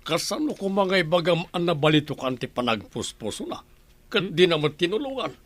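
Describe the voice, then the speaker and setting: An exasperated village husband in a radio drama, always questioning. His fairly high voice swings widely, close and dry. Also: lively intonation, brisk, a bit bright, dry recording. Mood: puzzled. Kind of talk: radio drama acting in Ilocano.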